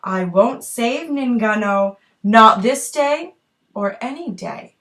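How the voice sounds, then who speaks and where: An indifferent young hunter from a folk tale, as a woman storyteller plays him. He turns down every plea in slow, dismissive refusals. The voice is bright and lively in pitch.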